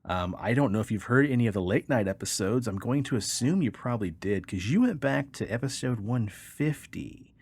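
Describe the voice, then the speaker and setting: A man's voice: mid-range voice, expressive, brisk, darkish tone, breathy edge. A man co-hosting a casual comedy podcast, chatting in a profane, jokey, suggestive way. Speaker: podcast co-host.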